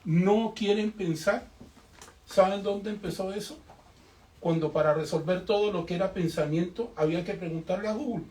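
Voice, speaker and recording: A man's voice: a little high, a little mellow. A man from the audience making an emphatic, storytelling comment, full of rhetorical questions, at a bookshop event. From Colombia. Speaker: audience member. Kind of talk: spontaneous public comment. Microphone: distant room mic. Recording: echoey room, voice far from mic, faint noise.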